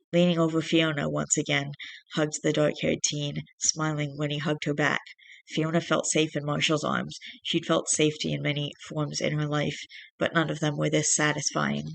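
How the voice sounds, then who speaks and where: A young man with a raspy voice and a dry throat, reading a story aloud and then chatting casually with viewers in a rambling way. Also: fairly high pitch, a bit bright, dry recording. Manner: rambling, self-correcting chat. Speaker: channel host reading fiction.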